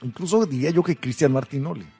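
A man's voice: a little high, fast, vocal fry, warm tone, slightly rough, faint music behind. A man with a Mexican accent co-hosting a casual chat, explaining points in a thoughtful way. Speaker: co-host.

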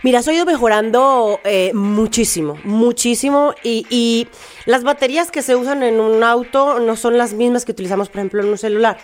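A woman's voice: fairly high pitch, a little fast, slight background noise. Chatty Colombian woman from Medellín. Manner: enthusiastic, explanatory, frequent fillers. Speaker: car tester, guest. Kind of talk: casual interview chat.

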